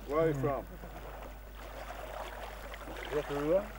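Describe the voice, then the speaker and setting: A man from Norway chatting casually and sociably with strangers outdoors beside a hot pool while a camcorder runs. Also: a little high. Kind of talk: casual chat while filming. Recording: distant, noisy outdoor camcorder audio.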